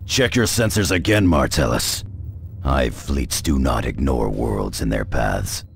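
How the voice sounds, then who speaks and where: An actor playing a grim veteran space marine in a video game, gravelly, terse and commanding. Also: male voice, a bass voice, expressive, measured pace, slight background noise, full character voice. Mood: stern, doubting. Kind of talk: scripted video game dialogue.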